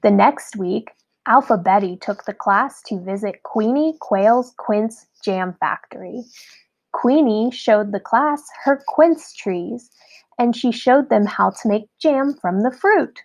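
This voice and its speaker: A woman narrating a scripted children's story in an expressive, deliberate read-aloud style. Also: a little high, rough recording.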